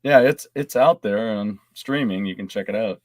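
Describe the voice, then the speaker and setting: A man's voice: fairly low pitch, normal speed, dry recording. A man chatting casually as a podcast panelist, easygoing and joking.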